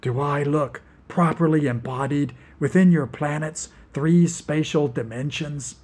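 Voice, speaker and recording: A man voicing an otherworldly toad creature with a hissing, staticky voice set a little high. It speaks in a cool, detached, slow drawl, like a storybook monster. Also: darkish tone, slightly rough. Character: eerie demonic toad creature from another dimension.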